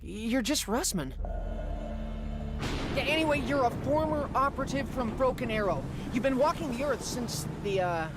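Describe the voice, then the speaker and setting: Casting: anxious, excitable game survivor, high and jumpy, music underneath. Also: male voice, moderate pace. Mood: uneasy explaining.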